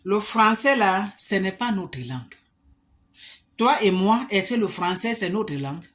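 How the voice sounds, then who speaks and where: A woman's voice: medium pitch, expressive, a little slow, fairly bright tone. A woman from Africa, talking straight to the camera as a vlogger and putting emphatic rhetorical questions to the viewer. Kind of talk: monologue to the camera.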